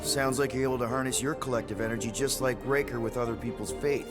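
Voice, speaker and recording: An actor playing an exasperated, flippant young leader of a ragtag spaceship crew in a video game. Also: male voice, medium pitch, steady tone, fast talker, music in the background, natural voice. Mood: skeptical. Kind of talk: video game cutscene acting.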